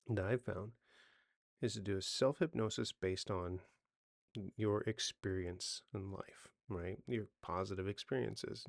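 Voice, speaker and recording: A man's voice: on the low side, vocal fry, no echo, studio quality. A man in his forties giving sleep advice off the cuff, talking conversationally, correcting himself and often checking in with the listener. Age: 40s. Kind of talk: unscripted how-to talk to camera.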